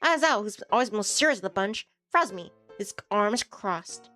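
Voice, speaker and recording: Woman, game streamer, casual read-aloud narration, mid-pitched, faint music behind. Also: animated, moderate pace, bright, forceful tone. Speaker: streamer reading a visual novel aloud.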